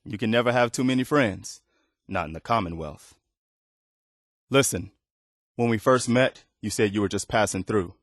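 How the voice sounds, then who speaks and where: Casting: weary, earnest male militia leader for a video game; lowish voice, close dry sound, sincere and plain-spoken. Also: normal speed, pauses often, dry recording. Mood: sincere, grateful. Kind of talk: video game dialogue acting.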